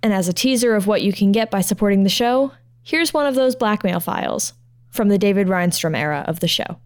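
A woman's voice: mid-range voice, expressive, brisk. A woman reading a scripted podcast introduction as the show's line producer. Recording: voice over music. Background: music.

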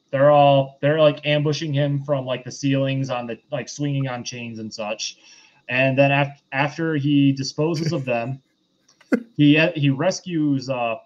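A man hosting a casual podcast film recap, talking in a halting, rambling way. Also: medium pitch, lo-fi sound. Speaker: podcast host reviewing films.

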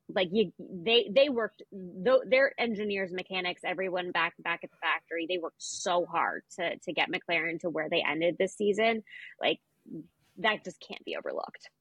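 Bright, husky woman giving podcast commentary. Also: on the low side, lively intonation, measured pace, no echo, poor audio. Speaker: podcast co-host. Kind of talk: casual sports podcast commentary.

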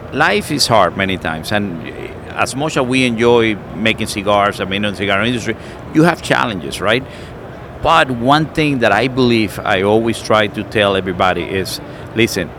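A man's voice: fairly low pitch, expressive, moderate pace, noisy background. A middle-aged man from Cuba with a Cuban Spanish accent, chatting casually and searching for words with many fillers. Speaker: cigar maker. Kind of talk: casual chat.

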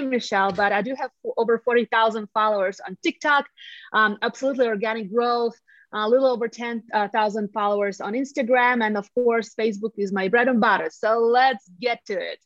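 Latvian-accented woman, bright and frank. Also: fairly high pitch, a little fast, dry recording, dull audio. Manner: upbeat, frank, addresses listeners as friends. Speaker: guest, real estate agent. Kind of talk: casual podcast chat over video call. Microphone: video call.

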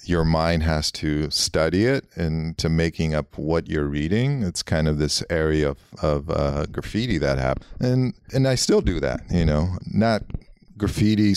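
A man's voice: very deep voice, creaky, smooth, no echo, clean studio sound. A man from Los Angeles whose first language is Armenian, a guest artist and architect musing in a meandering way with many fillers. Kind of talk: reflective interview talk.

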